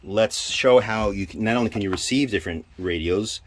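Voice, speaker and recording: A man giving an informal hands-on equipment demonstration, halting and thinking aloud as he goes. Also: fairly low pitch. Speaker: presenter demonstrating radio equipment. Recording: clear background noise.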